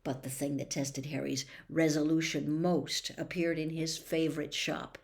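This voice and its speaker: A woman reading a story aloud as expressive audiobook narration, with measured, dramatic phrasing. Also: low-pitched, vocal fry. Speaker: storybook reader.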